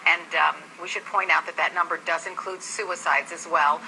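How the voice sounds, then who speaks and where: A woman's voice: on the low side, steady tone, normal speed, gravelly, sharp tone, slight background noise, poor audio. An American woman hosting a live news interview, pressing her guest with insistent, challenging questions. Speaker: television news host.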